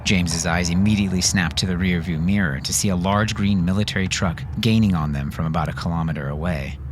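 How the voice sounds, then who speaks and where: A man's voice: sits low, fast talker, slight background noise. A man narrating scripted fiction dramatically, as an audiobook narrator.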